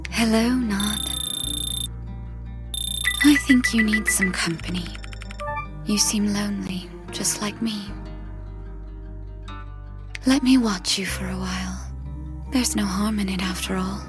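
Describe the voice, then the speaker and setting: An actress playing a lonely, watchful woman in a video game, softly seductive and eerie, with a breathy half-whisper. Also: mid-range voice, slow, long pauses, over music, close to an everyday voice. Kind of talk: video game voice acting, slow hushed monologue.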